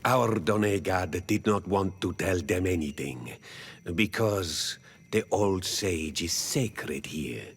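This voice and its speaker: A man voicing a weary, stoic native village chief in a video game, with a husky voice and a grave, halting delivery. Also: low voice, full character voice. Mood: resigned, grave. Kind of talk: video game dialogue acting.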